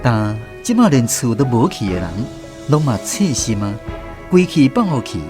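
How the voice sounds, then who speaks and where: A man's voice: mid-range voice, big pitch swings, normal speed, over music. A man narrating a radio drama in the first person, reminiscing like a storyteller with a Taiwanese accent.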